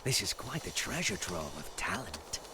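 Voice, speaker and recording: An actor playing a gleeful, scheming villain in a video game, savoring words in a theatrical, drawn-out way. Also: male voice, low voice, very animated, background noise. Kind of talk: video game cutscene acting.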